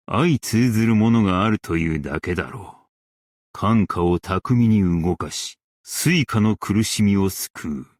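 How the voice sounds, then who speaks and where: A man playing a principled, stern yet merciful scholar-strategist in a game, speaking in a formal, archaic and measured way. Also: on the low side, darkish tone, no room sound, studio quality. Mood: composed, formal. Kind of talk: Japanese game character voice lines.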